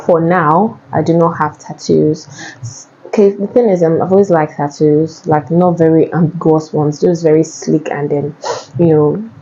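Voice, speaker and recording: A woman's voice: low voice, steady tone, measured pace, warm tone, slightly rough, lo-fi sound. A young Nigerian woman with a Nigerian accent, answering viewer questions in a casual, chatty way and often repeating herself. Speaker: vlogger.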